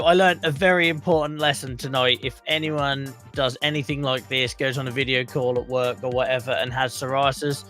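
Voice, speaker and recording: Brief: male livestream host, slightly high voice, croaky, chatty and welcoming, light music behind. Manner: chatty, greets viewers warmly by name. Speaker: livestream talk show host. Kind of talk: casual livestream chat.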